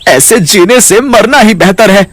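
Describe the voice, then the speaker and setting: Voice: mid-range voice, unhurried, a bit bright, no echo. A performer voicing a small, sad male cartoon parrot, meek and grieving, with plaintive, respectful pleading. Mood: grieving, despairing. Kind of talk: Hindi cartoon character voice.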